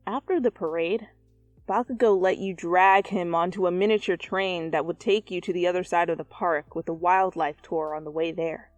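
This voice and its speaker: A woman reading fan fiction as an expressive, dramatic narrator who swears freely. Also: lowish voice, normal speed, no room sound. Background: soft music.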